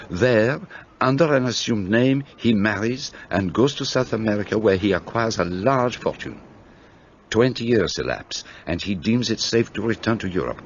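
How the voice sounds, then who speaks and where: An actor playing an elderly Belgian detective with a French-tinged Belgian accent, precise and theatrical, explaining his deductions. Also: lowish voice. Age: older. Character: fussy elderly Belgian male detective, self-assured. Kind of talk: radio play acting. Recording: dull lo-fi recording, faint hiss.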